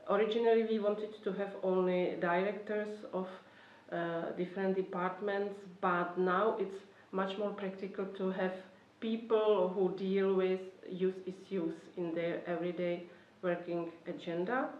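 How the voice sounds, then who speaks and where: A woman with a Czech accent explains policy as an official addressing online learners. Her medium-pitched voice moves carefully and haltingly through non-native English. The recording is roomy and slightly dull, picked up at some distance from the microphone. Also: little pitch movement. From Czech Republic. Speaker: government youth policy official. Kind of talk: explanatory talk for an online course.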